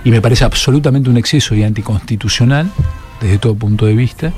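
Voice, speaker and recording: A middle-aged Argentine man answers an interviewer's questions in a measured, deliberate way, often stopping on fillers and restarting his sentences. His voice has a mellow, dark color at an ordinary pitch, and faint music plays behind him. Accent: Argentine Spanish. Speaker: political candidate.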